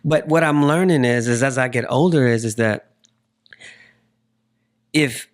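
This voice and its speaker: Forties man hosting a casual interview, mid-pitched. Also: measured pace. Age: 40s. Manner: circles toward his point with repeated phrases. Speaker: podcast host interviewing a guest.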